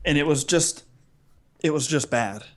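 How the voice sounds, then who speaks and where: Man, slightly high voice, podcast co-host, animated, rambling, casual comic storytelling. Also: a little slow, very noisy. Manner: animated, rambling, acts out imagined dialogue. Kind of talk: casual humorous chat, storytelling.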